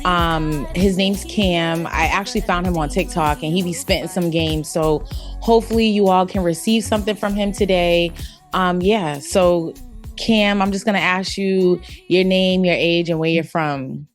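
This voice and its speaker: A woman hosting a podcast, introducing her guest in a relaxed, slangy way. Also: fairly low pitch, normal speed, soft background music. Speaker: podcast host.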